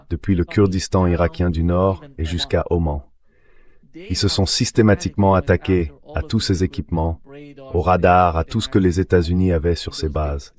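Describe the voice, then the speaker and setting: A man reading a translated voice-over of political analysis in a measured, explanatory way. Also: low voice, darkish tone, natural voice.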